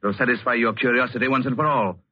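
An actor playing a cold, sardonic, domineering husband in 1940s radio suspense style, commanding and deliberate. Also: male voice, medium pitch, natural voice. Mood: menacing, commanding. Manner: smooth menace, commanding, deliberate phrasing. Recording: muffled old radio recording, music behind.

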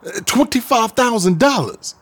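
Husky, agitated DJ in trouble. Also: male voice, very high voice, lively intonation, moderate pace, a bit bright, full character voice. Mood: desperate, eager. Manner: hesitant, agitated, slangy. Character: anxious, foul-mouthed male DJ being blackmailed. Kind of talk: audio drama acting.

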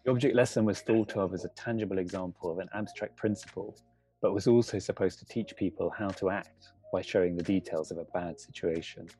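A man co-hosting a podcast, reading a measured scripted introduction. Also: low voice, dark tone, faint music behind. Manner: measured, read-aloud delivery. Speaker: podcast co-host, associate professor.

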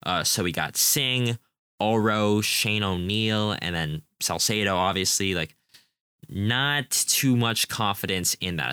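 A young man with a very low pitch and a bright, forceful tone leads a casual soccer preview. He talks enthusiastically, rambling and restarting his sentences. The sound is dry and close. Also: smooth, dry recording. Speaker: podcast co-host.

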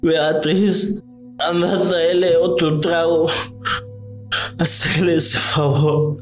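An actor playing a drunken, grieving, violent older father with a Central American Spanish accent, in a slow, anguished, emotional radio drama delivery. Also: on the high side, level tone. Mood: brooding. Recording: roomy echo, music underneath.

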